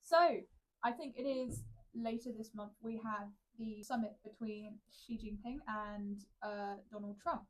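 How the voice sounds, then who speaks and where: A woman's voice: medium pitch, steady tone, a little slow, rough recording. A woman co-hosting a political podcast, chatty and enthusiastic, with frequent fillers. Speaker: podcast co-host. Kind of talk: casual political chat.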